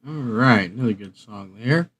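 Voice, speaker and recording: A man's voice: medium pitch, expressive, normal speed, fairly bright tone. A man hosting a casual live stream, rambling and muttering to himself as he decides things. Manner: muttering to himself while choosing tracks. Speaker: live stream host and music creator.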